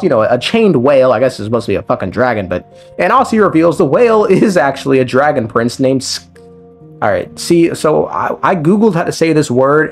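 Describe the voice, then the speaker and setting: Animated, irreverent man recapping game lore. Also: on the high side. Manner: irreverent, sweary, slangy. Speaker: video game lore commentator. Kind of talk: casual scripted story recap with joking asides. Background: background music.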